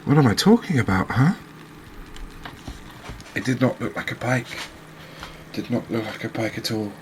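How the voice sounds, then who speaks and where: A man giving soft-spoken, unhurried ASMR commentary as he plays a game, musing aloud to his viewers. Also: mid-range voice, expressive, slight background noise. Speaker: game streamer, host.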